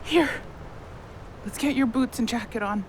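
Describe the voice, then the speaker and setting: A woman's voice: on the high side, measured pace, a little hiss or hum, close to an everyday voice. An actress in an audio drama playing a remorseful, caring young woman who talks earnestly and plainly, with a wry streak. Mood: gentle, sad.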